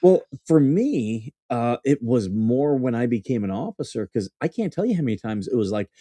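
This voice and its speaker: A former Marine officer co-hosting a casual talk, speaking haltingly with lots of fillers and breaking into easy laughs. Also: male voice, mid-range voice, big pitch swings, mellow, no echo. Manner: hesitant, many fillers and restarts, easy laughter. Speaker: co-host. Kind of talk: casual conversation.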